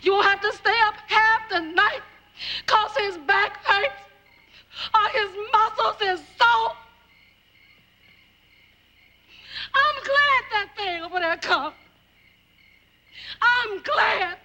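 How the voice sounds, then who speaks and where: An actress playing a bitter, outspoken, plaintive railroad worker's wife in a television folk-tale drama. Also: female voice, very high voice, slow pace, pauses often, pushed and bright, slight background noise, heavily acted. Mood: tearful. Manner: impassioned, plaintive.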